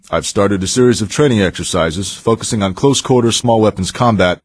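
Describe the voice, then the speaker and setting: An actor playing a stern, steady male Marine sergeant, giving a firm, formal audio report in a video game. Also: lowish voice, dry recording, studio quality. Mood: brisk, confident. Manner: firm, formal, matter-of-fact.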